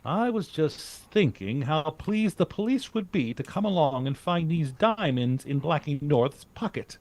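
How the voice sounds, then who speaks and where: An actor playing a cool, shrewd adventurer who leads a team of bodyguards in a vintage radio drama, decisive and wry. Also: male voice, fairly high pitch, animated, measured pace, a little mellow.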